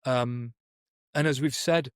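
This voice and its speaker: A man's voice: medium pitch, takes it slowly, smooth, no room sound, clean studio sound. A man co-hosting a podcast, explaining coaching methods in a thoughtful, conversational way, often restarting his sentences. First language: English. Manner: thoughtful, many restarts and "you know"s. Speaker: podcast co-host, performance coach.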